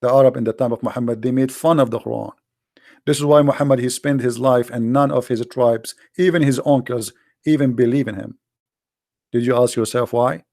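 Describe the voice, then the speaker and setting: A man's voice: medium pitch, steady tone, brisk, vocal fry, dark tone, no echo, studio quality. A man with an Arabic accent, a native Arabic speaker, hosting an informal, impassioned monologue in a blunt, emphatic manner with repeated phrases and rhetorical questions. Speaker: host, religious polemicist.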